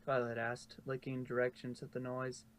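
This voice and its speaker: Guy reading a fanfic out loud, regular pitch, trips up and corrects himself, bit of noise. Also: monotone, moderate pace. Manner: informal, stumbles and corrects himself. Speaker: fanfiction narrator. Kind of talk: storybook narration read aloud. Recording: noisy home recording.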